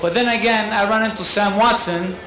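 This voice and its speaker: A man's voice: sits high, fairly even intonation, brisk, pushed and bright. A man, speaking earnestly at a podium as a boxer at a press conference. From Italy. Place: large arena press conference stage. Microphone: podium microphone. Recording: echoey hall with noise.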